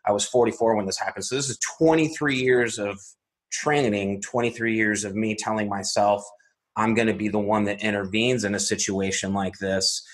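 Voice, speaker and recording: A man's voice: lowish voice, fairly even intonation, rough recording. A man in his forties from Arizona, a guest methodically recounting a personal story step by step. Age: 40s. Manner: measured, methodical, step-by-step telling.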